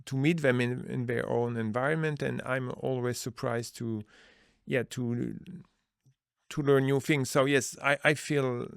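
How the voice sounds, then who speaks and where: Earnest man with a gravelly voice. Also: medium pitch, dry recording, clean studio sound. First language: Dutch. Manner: earnest, searching for words, many restarts. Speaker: business manager, interview guest. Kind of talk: conversational interview answers.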